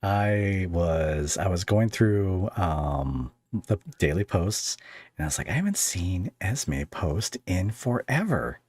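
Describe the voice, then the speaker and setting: A man hosting a casual interview, talking in a rambling, halting and jokey way. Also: deep voice, very animated, a little mellow, breathy edge, no echo. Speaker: podcast host interviewing a guest.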